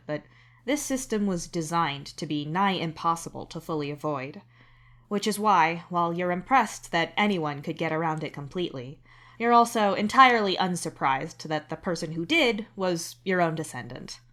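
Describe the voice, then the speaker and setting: A woman reading scripted fiction as narrator, in an introspective, expressive way. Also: fairly low pitch. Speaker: narrator and author reading own fan fiction.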